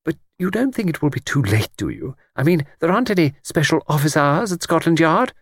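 A man voicing a gentle, chatty old English lady in an audiobook, with a thin, quiet voice and a hesitant, rambling, genteel manner. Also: a little high, very animated, fairly quick, no echo, pitched above the performer's own voice. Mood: rambling, anxious. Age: older. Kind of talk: audiobook character reading.

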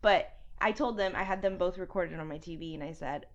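A young woman co-hosting a podcast with a raspy voice today, chatting playfully and acting out quotes. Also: lowish voice, a little fast. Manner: animated, playful, acts out quotes. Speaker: podcast co-host. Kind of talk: casual chat. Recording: dull lo-fi podcast audio.

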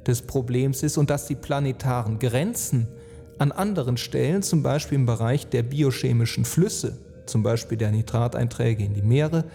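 A man narrating an earnest, reflective spoken essay, phrasing deliberately and emphatically with rhetorical weight. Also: medium pitch, creaky, warm tone. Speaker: speaker and author on climate and sustainability. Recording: long reverb, music underneath. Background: music.

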